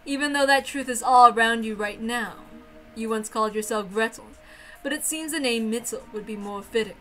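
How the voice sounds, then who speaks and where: Woman playing a bright-voiced smug witch. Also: a little high, normal speed, faint music behind, poor audio. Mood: taunting. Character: smug playful golden witch, teasing and theatrical. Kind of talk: dramatic visual novel read-aloud.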